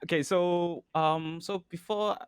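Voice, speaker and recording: Friendly podcast host, dry close sound. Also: male voice, high-pitched, steady tone, slow pace, clean tone, dry recording. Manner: friendly, hesitant, repeats words and fillers. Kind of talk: casual podcast conversation.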